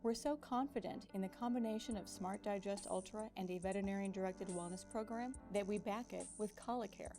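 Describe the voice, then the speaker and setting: A woman's voice: medium pitch, fairly quick, hardly any pauses. A woman voicing a scripted commercial in a polished, reassuring way. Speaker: ad voice-over. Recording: ad read over music. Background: music.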